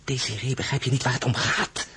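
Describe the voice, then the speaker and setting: An actor playing a proud, insistent and tender husband, a marshal turned crown prince, coaxing deliberately in an audio drama. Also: male voice, medium pitch, steady tone, bright, forceful tone. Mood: earnest, insistent. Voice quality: husky. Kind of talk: Dutch audio drama acting.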